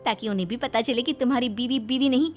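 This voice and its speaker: A playful young cartoon wife performed by a woman with a cocky film-hero swagger. Her voice is theatrical and on the high side, set over music. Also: fast, natural voice. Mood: proud, insistent. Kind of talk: cartoon story acting.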